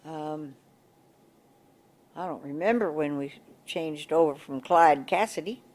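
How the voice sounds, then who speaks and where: An older woman with a big voice, recalling local government history in an oral history interview, pausing and restarting as she searches for words. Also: low-pitched, a little mellow. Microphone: table mic in front of a coffee pot.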